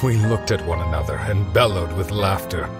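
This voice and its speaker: A man playing a reflective knight narrating his memories in a fantasy game, gentle, measured and wistful. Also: sits low, lightly acted. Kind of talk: dramatic first-person game narration. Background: loud background music.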